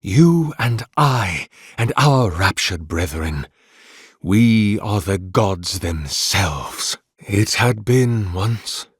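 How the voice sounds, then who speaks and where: A man's voice: on the low side, animated, extremely slow, slightly rough, no room sound, clean studio sound, full character voice. A man playing a devout, grandiose male oracle in a video game, speaking in a solemn, florid, sermonizing way as a zealous, mournful servant of a god. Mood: mournful, preaching. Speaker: video game character voice actor. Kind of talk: theatrical scripted game dialogue.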